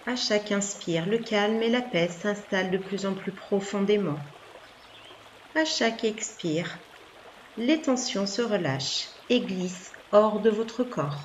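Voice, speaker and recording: A woman yoga nidra instructor giving soft guided relaxation instructions in a calm, soothing, unhurried manner with long pauses. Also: medium pitch, slight background noise.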